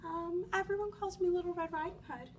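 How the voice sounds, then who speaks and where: A woman's voice: extremely high pitch, steady tone, brisk, a little hiss or hum. A woman voicing a naive, polite little girl in a storybook, earnest and eager. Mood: innocent, polite. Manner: bright, earnest, innocent.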